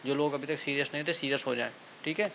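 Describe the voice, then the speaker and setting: A man's voice: mid-range voice, steady tone, fast, creaky, pushed and bright, a little hiss or hum. A man gives an informal, motivational exam-prep talk, instructing and urging students and often adding small check-in tags. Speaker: exam coaching instructor and channel host.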